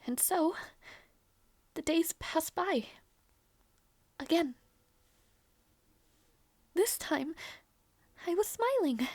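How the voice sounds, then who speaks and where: A woman playing a grieving, devoted young princess in a dramatic audio roleplay, halting and emotional, with a breathy, whispery delivery. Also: extremely high pitch, expressive, a little mellow, dry recording, heavily acted. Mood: wistful, longing. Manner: halting, repeats phrases, emotional.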